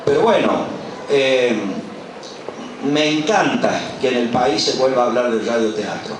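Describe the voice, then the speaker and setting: A man's voice: mid-range voice, expressive, measured pace. A middle-aged or older Argentine man, an author and professor, giving an informal public speech at a book presentation, with an emphatic manner and rhetorical questions. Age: middle-aged or older, over 50. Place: large colonial patio at a public event. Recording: echoey, distant, noisy live event recording.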